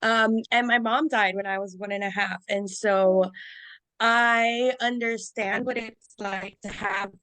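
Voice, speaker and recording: A woman's voice: medium pitch, steady tone, unhurried, a bit bright, no echo, rough recording. A woman podcast host whose first language is Spanish, speaking in an effusive way with laughs and fillers. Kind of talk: conversational podcast interview.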